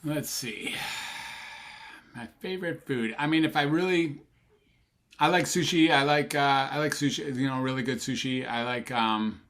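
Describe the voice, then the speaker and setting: Older male psychiatrist guest, casual rambling chat, warm enthusiasm, lots of ums. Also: medium pitch, moderate pace. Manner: rambling, enthusiastic, many fillers and restarts. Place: Sacramento, USA.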